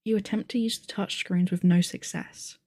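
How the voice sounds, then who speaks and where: Woman acting mellow, formal monster game master. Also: mid-range voice, fairly even intonation, dry recording, natural voice. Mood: theatrical narration. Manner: measured, articulate, formal. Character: calm, formal, bookish young female monster game master. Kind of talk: acted audio roleplay, narrating a tabletop game.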